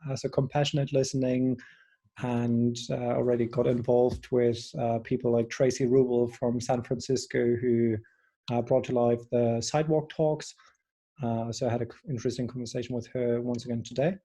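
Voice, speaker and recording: A man from Germany, introducing himself casually in halting phrases as a participant. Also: medium pitch, dark tone. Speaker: participant, community builder.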